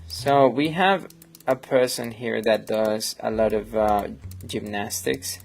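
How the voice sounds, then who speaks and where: A Colombian man, Spanish his first language, talks slowly with a low, gravelly voice. He rambles in a friendly way, full of fillers, as he edits at his desk. Soft music plays in the background. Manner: rambling and friendly, many fillers, reads chat aloud. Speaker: photographer hosting a live editing stream. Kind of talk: casual live-stream chat while editing.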